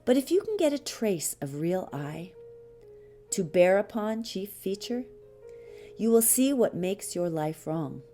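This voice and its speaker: A woman reading a spiritual teaching text as an audiobook, measured and deliberate, pausing often between short phrases. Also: mid-range voice, big pitch swings, mellow. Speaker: audiobook reader. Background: music behind the voice.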